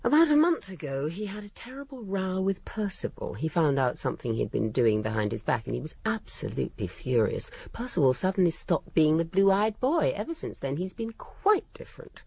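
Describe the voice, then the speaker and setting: An actress playing a shrewd, gossipy housekeeper in a radio drama, with an educated English accent and a poised, dry, cutting manner. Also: female voice, deep voice, big pitch swings, moderate pace, gravelly, hardly any pauses, darkish tone, husky, exaggerated voice. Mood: gossipy, candid. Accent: English, educated upper-middle-class.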